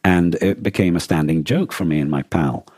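A man co-hosting a podcast in casual discussion, with a husky voice and a hesitant way of restarting his phrases mid-thought. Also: low voice, moderate pace, darkish tone, no room sound, studio quality. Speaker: podcast co-host.

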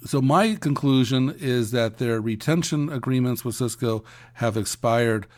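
A man co-hosting an industry news podcast, giving conversational commentary in a halting way, often restarting his phrases. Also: medium pitch, darkish tone.

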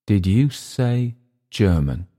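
A man's voice: deep voice, animated, moderate pace, a little mellow. A man voicing a world-weary, domineering settler in an audiobook story, speaking with emphatic, teasing scorn. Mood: menacing. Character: domineering, mocking older male jungle settler, world-weary. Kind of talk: audiobook character dialogue.

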